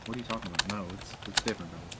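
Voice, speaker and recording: Low-voiced blunt man over noisy mic. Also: rapid-fire. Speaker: roleplay club leader. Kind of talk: casual online game chat. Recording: clearly noisy mic, clicks, typing.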